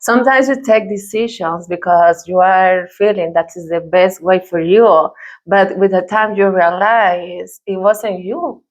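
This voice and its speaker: A woman from Colombia with a Colombian Spanish accent, a native Spanish speaker talking earnestly as a podcast guest in halting English. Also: mid-range voice, muffled recording. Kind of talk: interview conversation.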